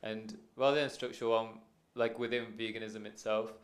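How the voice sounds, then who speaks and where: A man's voice: fairly low pitch, little pitch movement, moderate pace. A man in the audience asking a question, hesitant with "um" and "uh".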